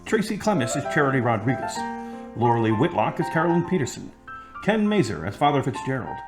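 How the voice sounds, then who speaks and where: A man's voice: mid-range voice, big pitch swings, quick pace, poor audio. A man narrating an audio drama in a scripted style, reading stage action and credits. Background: guitar music.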